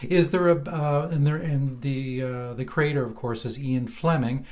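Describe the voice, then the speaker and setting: A man hosting a podcast, putting interview questions to a guest in a halting, hesitant way. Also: mid-range voice, steady tone, a little mellow.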